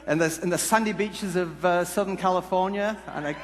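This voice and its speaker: A man giving a humorous conference talk, telling stories in a jokey, halting, rambling way. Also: high-pitched, a loud crowd. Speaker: conference speaker. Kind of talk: humorous stage presentation with storytelling. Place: large conference hall before an audience. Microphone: headset mic. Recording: echoey hall PA with background noise.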